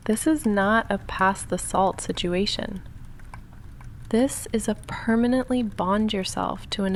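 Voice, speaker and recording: Hushed, creaky goth vampire woman, acted out by a woman. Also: medium pitch, slight background noise. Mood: dry, incredulous. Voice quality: breathy, often whispering, creaky. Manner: halting, hushed, confessional with dry deadpan asides. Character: female goth vampire roommate, lovestruck, restrained, nervously intense. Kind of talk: intimate ASMR roleplay addressed to the listener.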